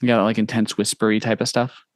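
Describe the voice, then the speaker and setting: A young man hosting a podcast interview, talking in a relaxed, casual way, full of "like" and "you know", quick to agree and encourage. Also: lowish voice, no echo, studio quality. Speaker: podcast host.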